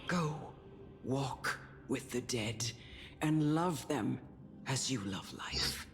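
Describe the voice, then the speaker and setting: An actor playing a grave, wise guardian of death who counsels a child in a measured, solemn video game style. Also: mid-range voice, fairly bright tone. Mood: solemn, guiding. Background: music.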